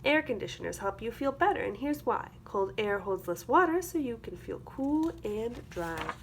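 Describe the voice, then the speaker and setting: A woman reading a children's picture book aloud as a teacher, with expressive, rhythmic delivery. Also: a little high, normal speed. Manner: rhythmic, expressive delivery of rhyming verse with explanatory asides. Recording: clear background noise.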